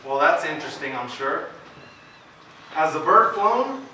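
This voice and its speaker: Heard from a distance in a heavily echoing hall, an actor plays a self-important county attorney on stage. The voice is a forceful, fairly high male one, patronizing and used to giving orders. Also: very animated, a little slow, heavily acted. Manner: patronizing, commanding. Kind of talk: stage play acting, early 1900s rural American drama. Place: stage performance recorded from audience distance. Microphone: distant camera. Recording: echoey hall from far off, noisy.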